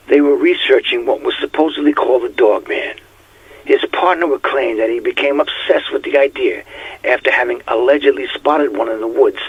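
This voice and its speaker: A man's voice: mid-range voice, slightly rough, no echo, dull audio, natural voice. A man playing a weary, haunted police detective nearing retirement, speaking a reflective, confiding journal entry in an audio drama.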